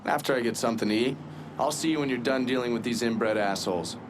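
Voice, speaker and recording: A mid-pitched man played by an actor with clear outdoor noise around him. He is a cynical game operative, blunt and wry in his briefing. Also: fast talker, close to an everyday voice. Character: gruff, cynical male intelligence operative briefing a partner. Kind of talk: video game dramatic acting.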